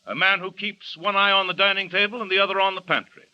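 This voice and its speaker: A man's voice: high voice, fairly even intonation, fast, bright, forceful tone. A man announcing a radio program in a stately, formal manner. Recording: dull vintage radio recording.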